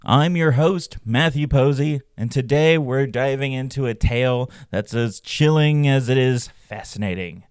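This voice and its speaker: A man hosting a podcast, reading scripted narration and stories in a measured, dramatic way. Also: medium pitch, a little mellow, clear, resonant tone, studio quality. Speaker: podcast host and storyteller. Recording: roomy echo, faint music behind. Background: faint background music.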